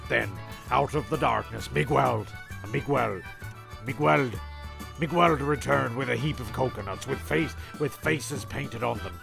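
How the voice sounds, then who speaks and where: A man narrates a comic story in an offhand way. His medium-pitched voice is very gravelly, and he stumbles and repeats phrases while music plays clearly behind him. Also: a little slow.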